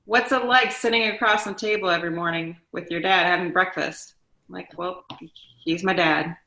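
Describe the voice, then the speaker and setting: Bright-voiced woman, echoey room. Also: lowish voice, steady tone, normal speed. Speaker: guest, daughter of an interviewed actor. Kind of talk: casual chat, reminiscing. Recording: roomy, echoey sound.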